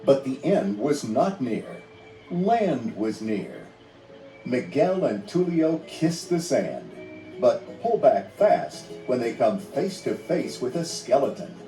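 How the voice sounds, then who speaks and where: Guy narrating a storybook read-along, slow and dramatic, roomy distant sound, music behind. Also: medium pitch, very animated, warm tone.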